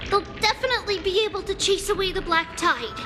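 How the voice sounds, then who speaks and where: A woman's voice: very high-pitched, brisk. A woman voicing a sweet, earnest little girl in a video game, with childlike phrasing. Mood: bright, eager. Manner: refers to self in third person, childlike phrasing. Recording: music under the voice. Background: background music.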